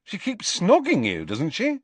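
A man's voice: on the high side, sing-song, unhurried, breathy edge, no echo, pitched above the performer's own voice, full character voice. A man voicing a sensible teenage wizard boy in an audiobook, bewildered, impatient and incredulous. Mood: puzzled, alarmed. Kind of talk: audiobook character voice.

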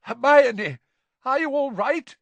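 A man's voice: very high voice, animated, slow, dry recording, highs cut off, pitched above the performer's own voice, full character voice. A man voicing an anxious, protective wizard father in a storybook, shaky and alarmed. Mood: terrified, shouting.

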